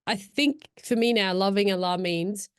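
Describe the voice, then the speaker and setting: A woman's voice: mid-range voice, moderate pace, clear, resonant tone, no room sound. Young woman, podcast guest, thoughtful, rambling, many fillers, dry sound. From Afghan, born in Pakistan, raised in Australia. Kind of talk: casual reflective chat.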